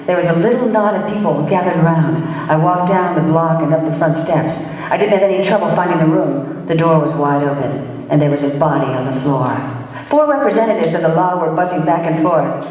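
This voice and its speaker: A woman playing a brisk, wry, wisecracking female private detective who narrates her case in slangy old-time radio play style. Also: low-pitched, a little mellow, natural voice. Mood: wry narrating. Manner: hard-boiled, wry, slangy. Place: live stage performance in a large room. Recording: echoey hall, recorded from far off.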